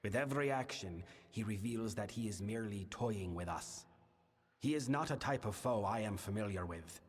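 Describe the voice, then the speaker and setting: Solemn low-voiced fae king, echoing. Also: husky. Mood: grave, wary. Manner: formal, solemn, measured. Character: grave, burdened fae king of a winter house. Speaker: actor voicing a game character. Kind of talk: scripted fantasy game dialogue. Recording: long reverberant hall, music behind. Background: music.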